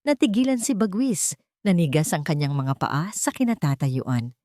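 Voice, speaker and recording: A woman narrating a romantic audio drama in a dramatic, expressive storytelling manner. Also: on the low side, moderate pace, no room sound, clean studio sound.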